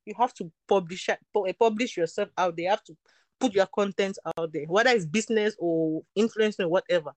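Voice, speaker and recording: A woman's voice: medium pitch, fairly quick, no room sound. A young woman from Cameroon, a beauty entrepreneur, talking candidly in an interview, emphatic and repeating her points. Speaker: guest, beauty product entrepreneur. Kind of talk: candid casual interview talk.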